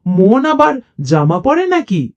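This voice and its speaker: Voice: medium pitch, sing-song, slow, lo-fi sound. A storyteller voicing an amused, doubting talking dog for children. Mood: amused, puzzled.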